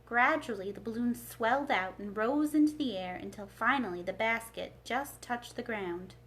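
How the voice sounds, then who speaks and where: Woman, high voice, children's librarian, expressive read-aloud, storybook narration. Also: moderate pace, poor audio.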